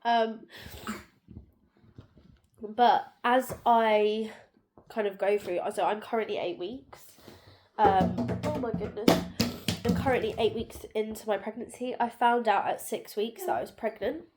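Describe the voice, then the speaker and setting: A young woman with a cold, talking softly and tiredly at home in a rambling casual vlog, nasal and bunged up. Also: a little high, a little slow, pauses often, very noisy. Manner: soft, tired, rambling with many fillers. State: congested, sore throat. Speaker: vlogger.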